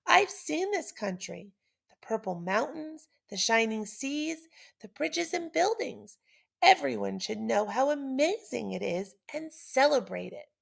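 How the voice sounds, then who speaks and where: A woman's voice: high-pitched, very animated, a little slow, gravelly, pitched above the performer's own voice, exaggerated voice. A woman voicing a weary, earnest giant female statue from a children's storybook, with dramatic emphasis. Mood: shocked, impassioned.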